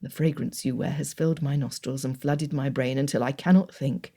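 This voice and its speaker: A woman voicing a reserved young gentleman suitor who speaks low, hushed and earnest. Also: fairly even intonation, brisk, husky, full character voice.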